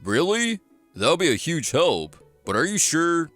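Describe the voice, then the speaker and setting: A man's voice: fairly high pitch, big pitch swings, a little slow, fairly bright tone. A man playing a hearty, courteous restaurateur in his fifties in a manga drama. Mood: concerned, relieved. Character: jovial fish-trading restaurateur in his fifties. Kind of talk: manga voice acting. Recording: music behind the voice.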